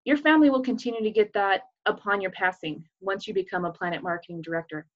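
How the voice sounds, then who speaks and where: A woman talks through a recruiting pitch with earnest, upbeat energy and easy fillers. Her voice sits in an ordinary middle register. The video-call sound is somewhat muffled and dull. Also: quick pace. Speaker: business presenter. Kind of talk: recruiting sales presentation.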